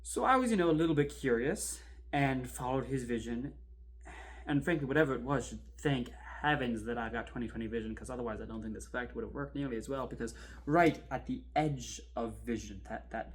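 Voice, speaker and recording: A man's voice: medium pitch, close to an everyday voice. A man playing a chatty kayak rescuer who recounts an eerie ordeal in an informal, wry, suspenseful monologue. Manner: wry, informal, halting for suspense, swelling then hushed. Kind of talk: conversational horror monologue, animated storytelling.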